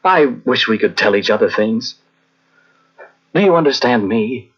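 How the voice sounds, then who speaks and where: A man's voice: mid-range voice, lively intonation, measured pace, a bit bright, slightly rough, heavily acted. An actor playing a meek, resigned man who hesitates and stammers, in an old-time radio drama. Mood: resigned, tender. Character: meek, flustered, resigned man befriending a machine. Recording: muffled old radio recording from cassette.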